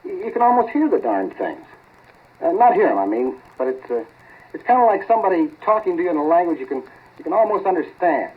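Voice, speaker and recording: A man's voice: high voice, very animated, fast talker. A male actor playing an eager, grumbling laboratory assistant in a folksy 1940s radio drama style. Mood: wondering. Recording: tinny, scratchy 1940s radio recording.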